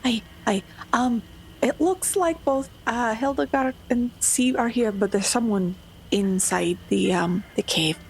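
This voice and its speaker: A woman's voice: on the high side, measured pace, low-quality recording. A woman playing a tabletop role-playing game, describing and speaking for her character in a casual way. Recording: faint background noise.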